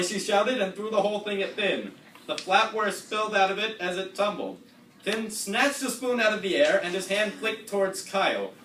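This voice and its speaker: A man's voice: sits high, fairly bright tone, recorded from a distance. A man narrating comedic readers' theatre, recorded in an echoey, noisy live room.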